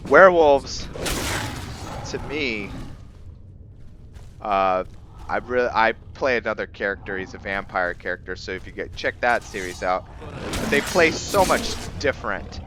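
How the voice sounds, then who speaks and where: A man giving casual let's play commentary as he roleplays, rambling and halting as he thinks aloud. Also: on the low side, pushed and bright, slight background noise. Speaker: gaming video host, roleplayer.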